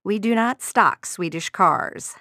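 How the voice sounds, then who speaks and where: Female game receptionist, courteous, sardonic undertone, gravelly vocal fry, close dry sound. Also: medium pitch, takes it slowly, sharp tone, dry recording. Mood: politely dismissive. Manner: courteous customer-service formality with sardonic undertone. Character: polite, dryly exasperated car dealership receptionist woman. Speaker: secretary answering customer calls. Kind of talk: scripted video game voice lines.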